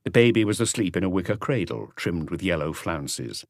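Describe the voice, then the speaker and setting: A man's voice: sits low, animated, moderate pace, no echo, clean studio sound. A man reading scripted literary narration for a mystery audiobook. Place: close, dry recording space.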